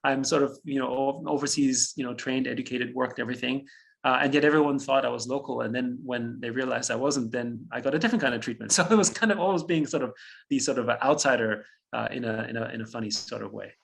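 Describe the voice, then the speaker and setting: A man in his fifties who grew up in Austria, German his first language, speaks as an interview guest. His voice has a gravelly, fry-tinged edge at a middling pitch. He reflects out loud, chuckles now and then and often restarts his phrases. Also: fast, a little hiss or hum. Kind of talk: conversational interview storytelling.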